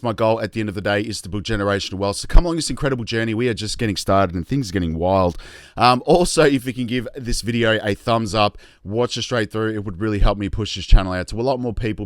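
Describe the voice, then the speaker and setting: An Australian man hosting a casual investing vlog, chatty and excitable, tired and losing his voice. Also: on the low side, smooth, dry recording, studio quality. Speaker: crypto YouTube host.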